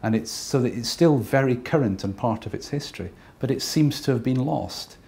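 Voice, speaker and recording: A man from Scotland who is a painter, talking thoughtfully and unhurriedly about his work, with pauses and fillers. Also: mid-range voice, gravelly, a little mellow.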